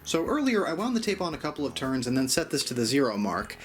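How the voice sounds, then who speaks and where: A man's voice: mid-range voice, big pitch swings, fast talker, faint music behind. A man narrating a casual, step-by-step tutorial in a conversational, mildly self-deprecating way. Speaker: host of an audio gear channel.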